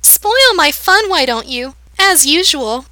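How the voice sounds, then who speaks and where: A woman reading aloud, voicing a manic girl with a sharp, sweet, high-pitched voice who taunts and teases. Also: big pitch swings, slow, no echo, heavily acted. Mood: taunting.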